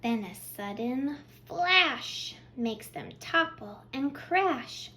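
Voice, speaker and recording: Children's storytime reader: woman, high and lively pitch, expressive delivery, addressing little ones, slight noise behind. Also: drawn-out words. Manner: expressive, addressing young children with simple questions and praise. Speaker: children's librarian, storytime host. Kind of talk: children's storytime read-aloud.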